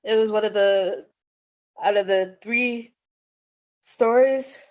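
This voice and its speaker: A woman's voice: medium pitch, slow, highs cut off. A woman hosting a podcast about her own stories, chatting casually in an informal, giggly way. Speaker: podcast host and story writer. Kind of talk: casual chat.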